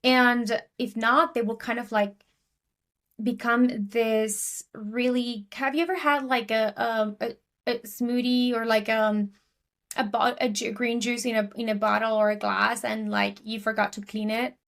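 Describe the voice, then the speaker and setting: A woman's voice: a little high, level tone, a little slow, fairly bright tone. A woman from Argentina with an Argentinian accent, chatting casually as a podcast guest with many fillers and restarts. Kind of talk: casual interview talk.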